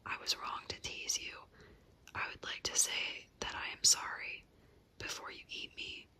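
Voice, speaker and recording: Woman whispering as a clever little squirrel. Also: measured pace. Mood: pleading. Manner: soft unvoiced whisper. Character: small quick-witted male brown squirrel. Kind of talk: whispered ASMR bedtime story.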